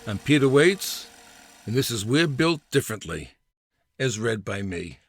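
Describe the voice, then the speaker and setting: A man's voice: mid-range voice, sing-song, a little slow, slight background noise. An older man reading his own humorous personal essay in a wry, storytelling way, acting out bits of dialogue.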